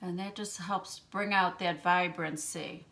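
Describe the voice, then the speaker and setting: A woman in her fifties hosting a makeup tutorial from a small room at home, explaining each step in a gentle, chatty way and clearing her throat now and then from allergies. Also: lowish voice, fairly even intonation, unhurried. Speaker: beauty channel host.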